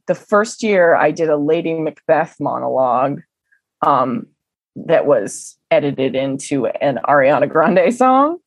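A young woman telling stories in a casual interview, chatty and animated, with lots of "um" and "like". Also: sits low, no room sound. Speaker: interview guest. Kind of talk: casual conversational storytelling.

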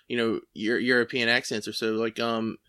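Bright-voiced rambling male host. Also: medium pitch, level tone, moderate pace, clean tone. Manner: rambling, hesitant, many fillers. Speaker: podcast host. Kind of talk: casual interview chat.

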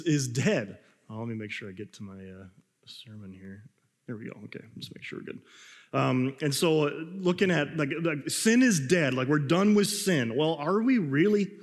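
A young man preaching a casual, rambling sermon, his voice slightly raspy as he recovers from losing it. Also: mid-range voice. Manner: rambling, self-deprecating, frequent fillers and laughs. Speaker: pastor. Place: church hall. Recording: reverberant hall.

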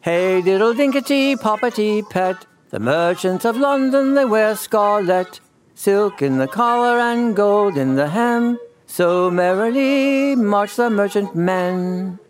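Man putting on high, sing-song merry little mice. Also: expressive, slow pace, faint music behind. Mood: merry, teasing. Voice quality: small shrill twittering voice. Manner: sing-song chanting of nursery rhymes. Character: little singing mice, merry, rude and teasing.

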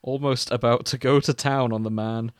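A man's voice: medium pitch, steady tone, moderate pace, no echo, studio quality. A man hosting a comic fan fiction reading, narrating theatrically with amused, casual banter.

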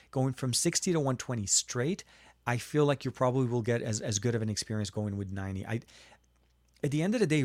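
A man from Lebanon hosts a relaxed live tech stream and works through chat questions one by one. He talks in a loose, chatty way, full of ums and restarts, at a middle pitch. The sound is dry and close, with no room echo. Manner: chatty, answers viewer chat with fillers and restarts. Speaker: tech livestream host. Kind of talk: casual live Q&A.

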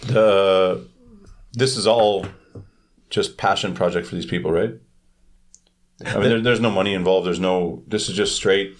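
Lowish-voiced man asking casual questions. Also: measured pace, long pauses. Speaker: podcast co-host. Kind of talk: casual chat.

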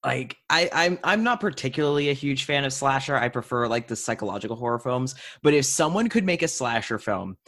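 A man's voice: mid-range voice, animated, fairly quick, few pauses, a bit bright. Informal, playful man hosting a podcast. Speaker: podcast host. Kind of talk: casual podcast chat.

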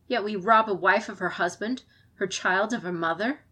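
A woman's voice: medium pitch, normal speed, pushed and bright, slightly rough. A woman playing a fiery defiant advisor. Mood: impassioned. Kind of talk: audiobook character reading.

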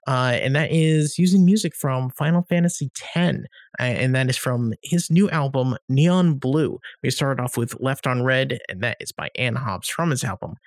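A man presents a music show in a casual, slightly halting way. His medium-pitched voice sounds close and dry, as he reads out tracks like a relaxed radio announcer. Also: dry recording.

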